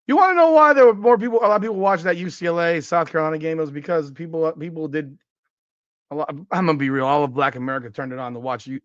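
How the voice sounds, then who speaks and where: A man in his forties hosting a casual sports podcast, ranting and cursing, his voice jumping higher whenever he gets worked up. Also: a bit bright, no room sound, highs cut off. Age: 40s. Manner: ranting and profane, voice jumping up in pitch when worked up. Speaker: podcast host. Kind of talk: casual sports talk livestream, reading chat comments.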